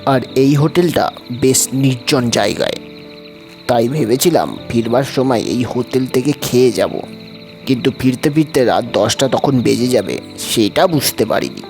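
Man acting as male diner, frightened, mid-pitched, horror audio drama, story narration, music bed. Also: expressive, normal speed, creaky, a little mellow. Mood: suspenseful narration. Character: frightened male diner recounting a haunted hotel. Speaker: story narrator and lead actor.

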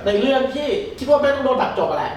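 A man hosting a casual chat, telling stories quickly and with crude slang. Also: extremely high pitch, very echoey, far from the mic, slight background noise. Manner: fast, animated storyteller, crude slang.

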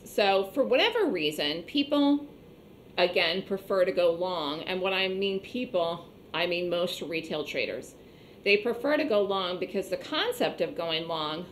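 A woman with a slightly hoarse voice teaching an online trading class, explaining step by step and repeating points conversationally. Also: fairly low pitch, fairly bright tone, a little hiss or hum. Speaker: trading instructor. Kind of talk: online trading webinar lecture.

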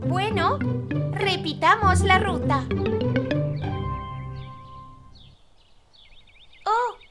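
A cheerful little cartoon girl with a very high voice. She talks slowly and politely, full of curiosity, as if to small children. Loud children's music plays behind her. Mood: polite, thoughtful. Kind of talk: preschool cartoon dialogue.

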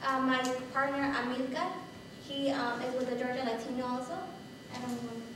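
A young woman presenting a workshop in a hesitant, halting way, recorded from a distance in a meeting room. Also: high-pitched, flat delivery, heavy reverb, background noise. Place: meeting room with brick walls, indoors. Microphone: distant camera microphone.

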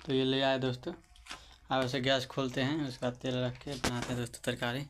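A man talks through a home cooking vlog in a flat, level voice, narrating every step and calling out to his friends. He is recorded on a handheld phone in a small kitchen. Pots and dishes clatter clearly in the background. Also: mid-range voice, fairly quick, noisy background. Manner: chatty, keeps addressing friends, narrates each step. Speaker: cooking vlogger. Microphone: handheld phone camera.

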